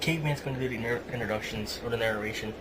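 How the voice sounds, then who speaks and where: A man's voice: medium pitch, racing, a bit bright, poor audio. A man hosting a casual group podcast, bossing the others along as he organizes them. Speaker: podcast host directing the reading.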